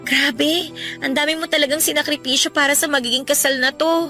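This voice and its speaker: High, bright-voiced devoted Filipina fiancée. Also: female voice, steady tone, brisk, soft background music. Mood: grateful, tender. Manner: tender, heartfelt, emotional. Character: devoted bride-to-be and mother, tender, pleading. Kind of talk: Filipino radio drama acting.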